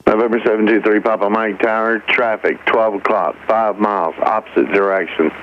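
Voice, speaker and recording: A man's voice: fairly low pitch, fairly even intonation, moderate pace. An American man working as an air traffic controller, giving clipped procedural instructions over aviation radio. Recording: muffled aviation radio, clear engine noise.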